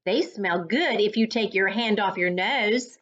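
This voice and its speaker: A woman reading a children's story aloud as a reading coach, with a deliberate, instructive, teacher-like delivery. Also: medium pitch, a bit bright. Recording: dull lo-fi sound.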